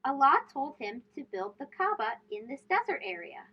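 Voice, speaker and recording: A woman with a high voice reads a storybook aloud for children. Her intonation is very animated, with emphatic phrasing and questions aimed at young listeners. The recording is a little dull. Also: normal speed. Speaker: host of a children's read-aloud show.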